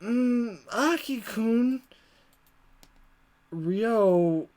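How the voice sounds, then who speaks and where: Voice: mid-range voice, drawn-out words, a little mellow, pitched above the performer's own voice. Flustered stammering teenage boy, read by a gamer. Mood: puzzled, flustered. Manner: stammering, exasperated inner monologue. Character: flustered, awkward high school boy, visual novel hero. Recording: music under the voice.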